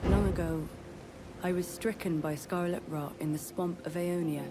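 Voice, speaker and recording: Woman playing a low-voiced noble knight. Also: steady tone, very noisy, natural voice. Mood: earnest, courteous. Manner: measured, formal, earnest. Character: noble woman warrior, devoted, composed, courteous. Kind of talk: dramatic fantasy game dialogue.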